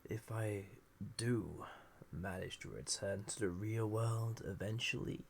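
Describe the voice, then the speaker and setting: A man playing a flirtatious, dangerous banished villain, ancient and lonely, in an intimate roleplay audio drama, breathy and often half-whispered, teasing with languid pauses. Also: on the low side, a bit bright, exaggerated voice. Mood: wistful, confiding.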